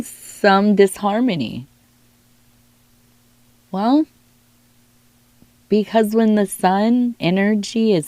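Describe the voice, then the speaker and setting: Hoarse, low-voiced woman reading tea leaves. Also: darkish tone, dry recording. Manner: musing, reassuring, talks straight to the listener. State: hoarse, losing her voice from illness. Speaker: tea leaf reader. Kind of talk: soft-spoken divination reading, ASMR.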